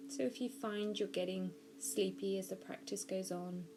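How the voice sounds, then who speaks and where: A woman narrating a guided body scan meditation, giving gentle, measured instructions slowly with pauses. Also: mid-range voice, fairly even intonation, low-quality recording. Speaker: mindfulness practitioner guiding a meditation.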